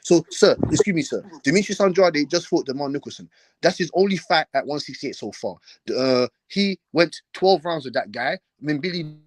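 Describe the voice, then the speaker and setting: A man's voice: on the high side, lively intonation, normal speed, no room sound, rough recording. A man debating heatedly and informally, combative and interrupting, repeating his points with pointed politeness. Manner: combative, repetitive, interrupting, says "sir" pointedly. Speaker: boxing debater.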